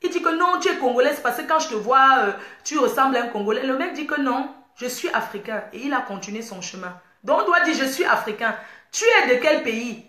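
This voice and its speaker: A woman's voice: sits high, lively intonation, fairly quick, bright, forceful tone, roomy sound. A woman, talking passionately and repetitively to her online followers in a casual livestream. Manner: impassioned, repetitive, preachy. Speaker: online community host.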